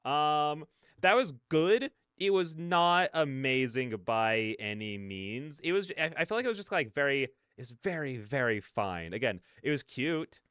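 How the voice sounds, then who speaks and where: A man's voice: mid-range voice, lively intonation, unhurried, fairly bright tone, smooth, dry recording, clean studio sound. A man hosting a reaction video with casual, effusive commentary, repeating phrases for emphasis.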